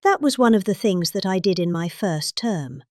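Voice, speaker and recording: Expressive British woman reading with theatrical emphasis. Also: medium pitch, normal speed, dry recording, clean studio sound. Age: middle-aged. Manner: emphatic, exaggerated delivery. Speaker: performer reading a political speech. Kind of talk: theatrical parody reading of a speech.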